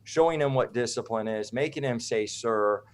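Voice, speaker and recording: American guy on a podcast as guest, average pitch, loose rambly opinionated talk, keeps checking in. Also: normal speed. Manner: informal, rambling, checks in with "right?". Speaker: interview guest, activist. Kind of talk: opinionated conversational interview.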